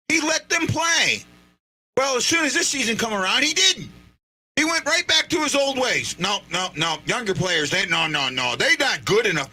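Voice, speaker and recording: A man hosting a live fan show, giving rambling, excitable and sarcastic commentary while watching a game. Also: high voice.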